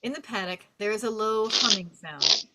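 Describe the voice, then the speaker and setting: A woman narrating the stage directions at a script table read in an expressive storytelling manner. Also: mid-range voice, normal speed. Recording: faint background noise and clicks.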